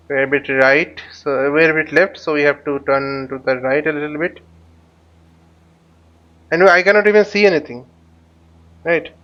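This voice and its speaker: A man teaching a flight simulator tutorial, speaking haltingly and often repeating words as he explains. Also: on the high side, clean tone. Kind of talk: spoken tutorial while flying a simulator. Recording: dull lo-fi microphone sound.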